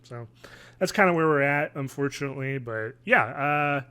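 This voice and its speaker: Bright-voiced man in casual podcast chat. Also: medium pitch, moderate pace, clear, resonant tone. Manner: informal, conversational. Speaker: podcast co-host. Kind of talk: casual chat, reviewing TV shows. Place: home room, on a video call.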